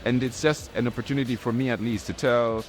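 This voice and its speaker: A young man from Tanzania, a graphic artist, talking in a relaxed, reflective way with many restarts and fillers. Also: medium pitch, quick pace. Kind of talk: casual interview talk. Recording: faint music underneath.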